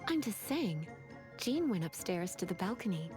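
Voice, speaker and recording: A woman's voice: medium pitch, sing-song, moderate pace, lightly acted. Woman as a teasing, flirtatious lady, music behind. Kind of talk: anime game dub acting.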